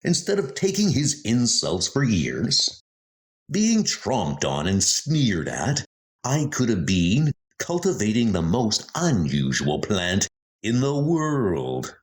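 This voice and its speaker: A man's voice: fairly low pitch, wildly swooping pitch, slow pace, exaggerated voice. A man playing a scheming, pompous heir and murderer, theatrical and gloating, in a comic ghost story dub. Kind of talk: comic dub horror acting.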